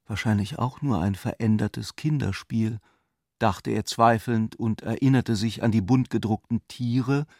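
An actor playing a serious, doubting narrator and lover in a literary radio drama, speaking in a measured, deliberate way. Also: male voice, fairly low pitch, darkish tone, no room sound, studio quality. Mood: reflective.

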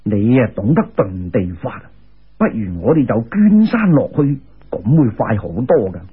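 Storybook immortal guide, acted by a man: low, very raspy, calm and commanding with quiet amusement, on a thin narrow-band recording. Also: normal speed. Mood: amused, reassuring.

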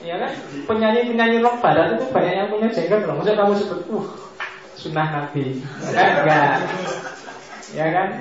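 A man, his voice pitched a little high, lectures on philosophy in a casual, joking way and drifts into asides. He is recorded in a large mosque hall that fills with long echo, and the sound is dull and lo-fi. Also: moderate pace, long pauses, a crowd in the background. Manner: joking, mixes Javanese asides.

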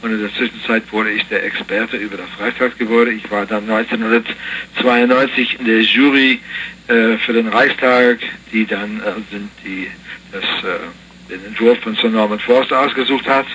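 A man's voice: lowish voice, steady tone, normal speed, pushed and bright, a little hiss or hum. Older American man on a muffled phone line. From United States. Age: 60s. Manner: hesitant, many fillers and restarts. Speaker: interview guest. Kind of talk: phone interview. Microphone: telephone.

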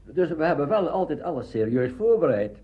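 An older man telling anecdotes as an interviewee, animated and conversational. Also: fairly high pitch, fairly quick. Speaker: interviewee, veteran radio actor. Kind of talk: anecdotal reminiscence. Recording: dull archive interview recording.

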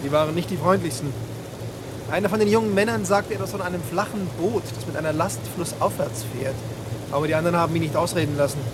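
Actor as troubled impatient young man, radio drama, high pitch, loud engine-like background noise. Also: quick pace, lightly acted. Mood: anxious, impatient. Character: anxious, impatient young husband on a pursuit. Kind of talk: German radio play acting.

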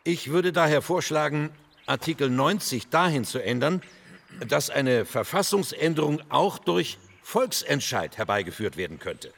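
An actor playing an earnest parliamentary delegate of 1948, making a deliberate, formal speech. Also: male voice, fairly high pitch, very animated, gravelly, fairly bright tone, heavily acted. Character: earnest social democrat delegate proposing constitutional amendments, 1948. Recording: long hall reverb.

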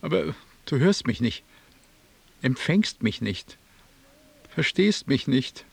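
A man's voice: medium pitch, big pitch swings, takes it slowly, gravelly, slightly rough, dry recording, heavily acted. An actor playing a weary, lonely, penniless man in a radio drama, musing and pleading in fragments. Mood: weary longing.

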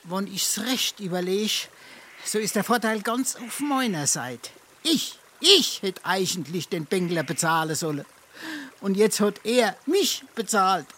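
A man's voice: high-pitched, animated, unhurried, fairly bright tone, exaggerated voice. An actor playing a brazen, boozy male freeloader in a dialect stage comedy, groaning and self-important, with a Darmstadt Hessian accent. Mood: smug, indignant.

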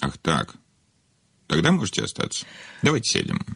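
Haughty, low, husky celebrated novelist. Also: male voice, wildly swooping pitch, a bit bright, dry recording, studio quality, heavily acted. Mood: condescending. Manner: imperious, curt, halting with hums. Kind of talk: audio play acting.

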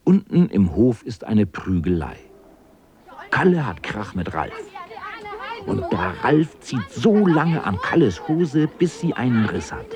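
A man's voice: mid-range voice, sing-song, slow, darkish tone, a faint crowd. A man narrating a scripted children's radio play as an observant storyteller.

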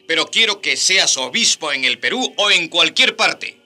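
An actor playing an imperious, wryly amused Spanish monarch in a radio drama, commanding and teasing. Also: male voice, on the high side, expressive, normal speed, sharp tone, full character voice.

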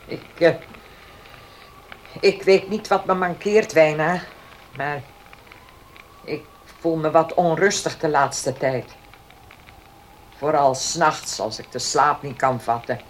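An actress playing an imperious, restless elderly mistress of a house in a radio drama, commanding and grumbling with halting speech. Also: female voice, low voice, slight background noise. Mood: uneasy. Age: older. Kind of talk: Dutch radio drama acting.

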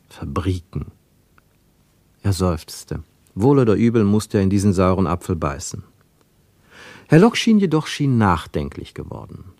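A man narrating a radio play travel tale in a measured, gently ironic way. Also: fairly low pitch, no echo, full character voice. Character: wry third-person storyteller of a travel tale.

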